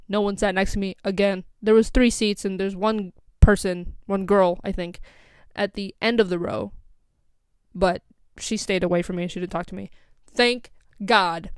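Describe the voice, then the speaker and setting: A woman's voice: medium pitch, clear, resonant tone, dry recording. A young woman with an American accent telling a casual, rambling story as a vlogger, full of "like" and "um". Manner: rambling, scatterbrained, lots of "like" and "um".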